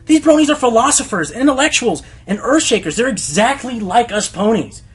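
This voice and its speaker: A man's voice: very high-pitched, expressive, fairly quick, breathy edge, full character voice. A man playing a passionate, self-deprecating male Pegasus radio host in an earnest radio play. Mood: outraged, impassioned. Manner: earnest, hesitant, turning indignant. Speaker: radio show host being interviewed. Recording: music behind the voice.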